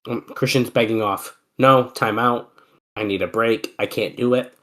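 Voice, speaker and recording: A congested man with a cold, chatting on a podcast at a middle pitch. He relaxes into play-by-play of wrestling moves, then drifts back into loose banter with his co-host. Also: steady tone, normal speed, a bit bright. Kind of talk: casual wrestling commentary chat.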